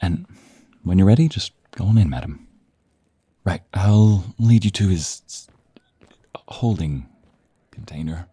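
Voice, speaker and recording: Deferential doctor's aide acted by a man, low mellow husky voice, courteous and hesitant, audio drama. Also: lively intonation, measured pace, pauses often, no echo, exaggerated voice. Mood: awkward, courteous. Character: polite, hesitant male assistant sent by a doctor. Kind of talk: audio roleplay acting.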